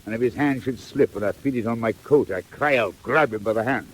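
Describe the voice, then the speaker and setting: An actor playing a wily old gang master with oily, coaxing, falsely genial speech in 1940s audio drama style. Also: male voice, medium pitch, lightly acted. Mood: coaxing, sly. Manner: oily, theatrical, drawn-out coaxing. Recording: noisy old 1940s record.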